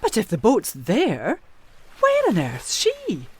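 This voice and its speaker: A fretful, swooping-voiced housekeeper. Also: female voice, a little high, wildly swooping pitch, slow pace, a little mellow, no room sound, exaggerated voice. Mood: bewildered. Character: fretful, kindly housekeeper, simple and motherly. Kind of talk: audio drama acting, period literary dialogue. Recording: music clearly playing behind voice.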